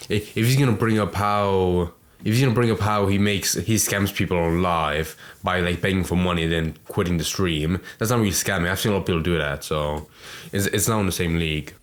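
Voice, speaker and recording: A man's voice: low-pitched, brisk. A man casually reacting to a video, joking loosely and swearing. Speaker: reacting commentator.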